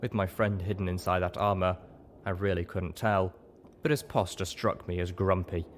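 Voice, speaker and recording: A man's voice: low-pitched, fairly even intonation, normal speed, a little hiss or hum. A man reading scripted story narration as an audiobook narrator.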